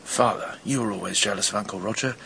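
A man's voice: fairly low pitch, fast, vocal fry, pushed and bright, slight background noise. An actor playing an anxious young man in a radio drama, conversational but edgy. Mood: bitter, mocking. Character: young man, anxious lover of a determined woman.

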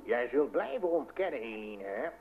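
Wounded jealous husband, very dramatic and swoopy, old tinny radio play. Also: male voice, mid-range voice, wildly swooping pitch, normal speed, creaky. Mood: bitter, accusing. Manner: declamatory, bitter, insistent questioning. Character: wounded, accusing betrayed husband in overblown melodrama. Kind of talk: melodramatic old radio acting. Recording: tinny, faintly noisy old radio recording.

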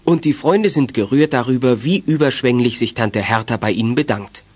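An actor as the earnest storyteller of a children's detective radio play, reading scripted narration. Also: male voice, mid-range voice, normal speed, darkish tone, natural voice. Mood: earnest storytelling. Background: music.

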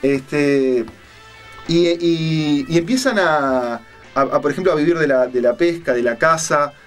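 Halting Uruguayan man, slightly high voice. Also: soft background music. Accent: Uruguayan Spanish. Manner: halting, colloquial, searching for words. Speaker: radio show host. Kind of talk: casual on-air chat, retelling a film and crime story.

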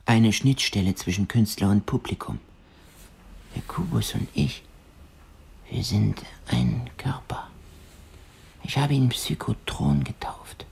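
An actor playing a dreamy, obsessive young eccentric musician in a radio drama, half-whispering in a breathy, intimate voice. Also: male voice, lowish voice, very animated, slow pace, pauses often, a little mellow, heavily acted. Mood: proud, hushed.

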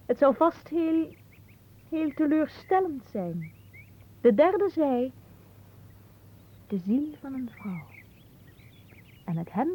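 A woman's voice: a little high, a little mellow, slightly rough, full character voice. An actress playing a capricious, haughty young woman in a radio play, teasing and theatrical, with a dreamy storytelling way. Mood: dreamy, wistful. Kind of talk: Dutch radio play acting.

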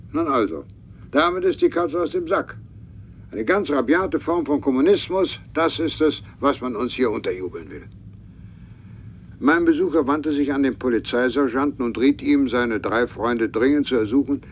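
A statesman narrating an official account in a radio drama. His voice is middle-pitched and formal, with a faint rumble behind it. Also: very animated, normal speed. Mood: formal, recounting. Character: formal male statesman recounting in a letter.

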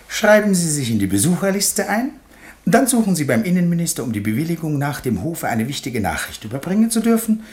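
Pompous valet with swooping intonation. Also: male voice, on the high side, a little mellow. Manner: formal, measured, officious. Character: unflappable, pompous male imperial valet, stiffly formal. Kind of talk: satirical radio play acting.

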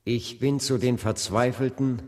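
Slow, husky civil rights leader, acted by a man. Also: mid-range voice, steady tone, darkish tone, lightly acted. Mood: impassioned. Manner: deliberate, oratorical, weighty pauses. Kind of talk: German radio play acting. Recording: long hall reverb.

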